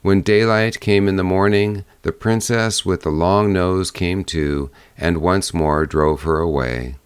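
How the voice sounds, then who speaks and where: Deep-voiced male storybook narrator. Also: measured pace, clear, resonant tone, dry recording. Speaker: audiobook narrator. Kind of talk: scripted fairy-tale narration.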